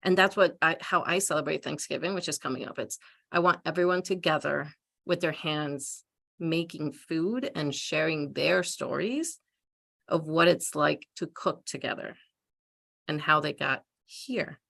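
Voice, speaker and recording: Informal woman storyteller with a lowish voice. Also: a little slow, gravelly, a bit bright. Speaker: panelist. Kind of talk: casual personal storytelling.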